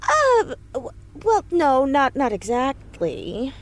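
An earnest teenage schoolgirl in an audio drama. Her delivery is natural and very animated, rising and falling freely as she argues her case with stubborn conviction. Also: sits high, takes it slowly, full character voice. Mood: defensive, indignant. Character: earnest, stubborn fourteen-year-old schoolgirl activist.

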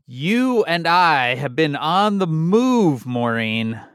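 A man co-hosting a podcast, speaking in slow, teasing casual banter with frequent ums. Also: on the high side, sing-song, a bit bright, clean tone, dry recording, clean studio sound. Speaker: podcast co-host. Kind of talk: casual chat.

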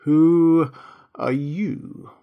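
A man's voice: on the high side, fairly even intonation, gravelly, narrow, tinny audio, voice pushed up, heavily acted. A man voicing a stern, contemptuous storybook caterpillar in a languid, sleepy and curt manner. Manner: sleepy, slow drawl, curt.

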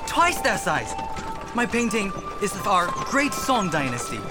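An actor playing a passionate, deferential seventeen-year-old court painter in a radio drama, speaking earnestly. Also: male voice, sits high, big pitch swings, measured pace. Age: young adult. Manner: earnest, respectful. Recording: music behind the voice.

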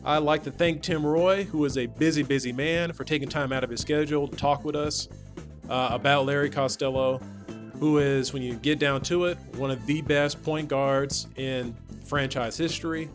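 An American man hosting a podcast, speaking in deliberate, formally phrased introductions and sign-offs. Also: a little high, steady tone. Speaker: podcast host. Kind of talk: scripted-sounding podcast hosting over music. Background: guitar music.

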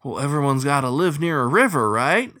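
A man voicing a cheerful, loud male beaver deckhand with a grinning, bellowing manner. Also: mid-range voice, very animated, a little fast, no room sound, clean studio sound.

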